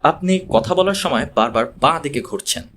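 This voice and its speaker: A man's voice: on the high side, animated, normal speed, a bit bright, a little hiss or hum. A man voicing a wry, unflappable male investigator in an audiobook, dry and plain-spoken. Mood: wry, calm.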